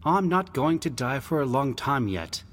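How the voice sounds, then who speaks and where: A hearty young elder brother, acted out by a man, talks briskly and protectively in a raised pitch. He is kindly and reassuring, in the manner of an audio storybook. A long reverb hangs around the voice. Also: big pitch swings, a bit bright. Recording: long hall reverb, background noise.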